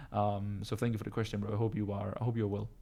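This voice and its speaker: A Danish man whose first language is Danish, talking casually in a live Q&A about business, often calling his listeners bro and filling pauses with um and uh. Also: on the low side, monotone, creaky, mellow. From Denmark. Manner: casual, calls listeners bro, many ums and uhs. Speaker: business coach answering viewer questions.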